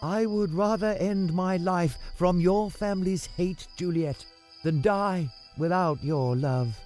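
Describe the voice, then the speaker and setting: A man's voice: high-pitched, takes it slowly, a little mellow, faint music behind, pitched above the performer's own voice. A man playing an ardent young nobleman in love, speaking earnest storybook dialogue.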